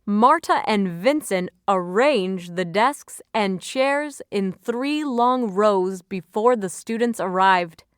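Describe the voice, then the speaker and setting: Smooth, slow-paced woman narrator. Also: mid-range voice, lively intonation, dry recording, clean studio sound. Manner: measured, careful enunciation. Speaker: vocabulary lesson narrator. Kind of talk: scripted educational read-aloud, dictionary entries and example sentences.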